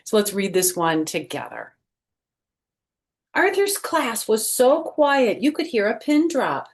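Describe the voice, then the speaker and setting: A woman reading a picture book aloud to children, explaining things and asking her young listeners questions as she goes. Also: mid-range voice. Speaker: storytime reader and former first grade teacher.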